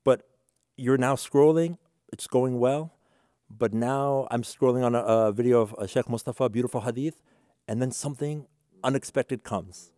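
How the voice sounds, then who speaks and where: A man's voice: mid-range voice, normal speed, mellow, dry recording. Male interviewer in a dry, close recording. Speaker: interviewer, host. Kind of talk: casual conversational interview.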